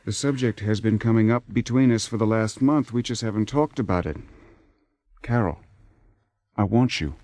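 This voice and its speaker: An actor in a radio drama playing a persistent, impatient theater man, smooth-talking and sardonic as he presses his case. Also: lowish voice, normal speed, long pauses, darkish tone, no echo, lightly acted. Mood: flirtatious, needling. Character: persistent, persuasive theater man seducing a married woman, impatient. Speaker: lead actor.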